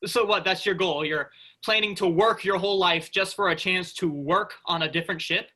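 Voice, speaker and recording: A man's voice: high voice, normal speed, bright, forceful tone. A man playing a restless, sarcastic space freighter worker, informal and exasperated, in a staged reading. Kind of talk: virtual staged reading of a sci-fi play.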